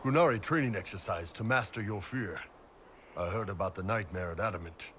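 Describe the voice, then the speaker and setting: An actor playing a huge, gruff, brash warrior mercenary in a video game, with a gravelly voice and blunt, swaggering manner. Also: male voice, lowish voice, a little fast, a bit bright, slight background noise, lightly acted. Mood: low, gruff. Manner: blunt, swaggering, casual swearing.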